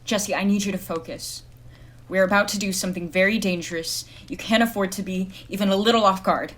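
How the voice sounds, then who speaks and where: A woman playing a blunt, wary female private detective in an audio drama, measured and commanding in her delivery. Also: medium pitch, fairly even intonation, fairly bright tone, natural voice. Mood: grim, firm. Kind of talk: audio drama acting with noir narration.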